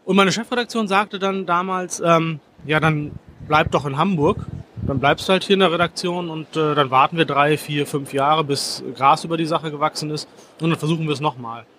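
A man in his forties, a foreign correspondent, answering interview questions in a measured, thoughtful way with frequent fillers and restarts. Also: fairly high pitch, sharp tone, slight background noise. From Germany.

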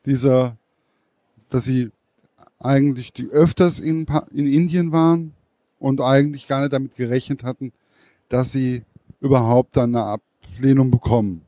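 A man interviewing a guest, phrasing his questions slowly and hesitantly, searching for words. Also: mid-range voice, fairly even intonation, dark tone, no room sound. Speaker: interviewer, host.

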